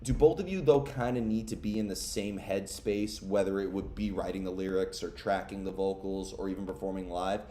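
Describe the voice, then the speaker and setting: Low-voiced interviewer in an echoey room. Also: male voice, steady tone, a little fast, hardly any pauses, mellow, slight background noise. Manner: long, rambling questions with fillers. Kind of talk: casual interview.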